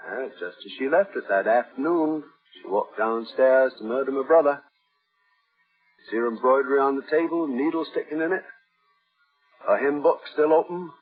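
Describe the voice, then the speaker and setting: A man acting a creepy mad husband in a 1950s radio thriller, folksy, possessive and eerily calm. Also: mid-range voice, normal speed, slightly rough, natural voice. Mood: eerily hospitable. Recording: muffled old radio recording, loud music behind.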